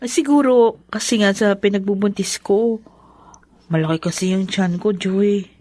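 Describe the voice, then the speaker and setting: A woman's voice: mid-range voice, moderate pace, mellow, no echo, close to an everyday voice. An actress playing a meek, apologetic young pregnant woman with a Filipino accent, eager to please, in a radio drama. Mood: casual, guarded.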